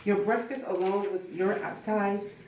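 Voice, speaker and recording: A woman reading the part of a brisk, friendly first assistant director at a film script table read. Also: medium pitch, fairly even intonation, darkish tone, lightly acted. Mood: chatty. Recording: roomy, distant mic, faint noise, clicks.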